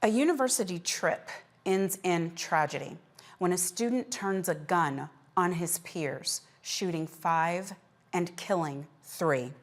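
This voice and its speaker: Measured low-pitched woman news anchor. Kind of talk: scripted news reading.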